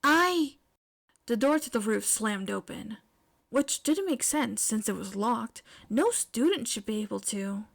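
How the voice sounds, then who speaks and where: A woman reading scripted fiction aloud as an expressive podfic narrator. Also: a little high, a little slow, vocal fry.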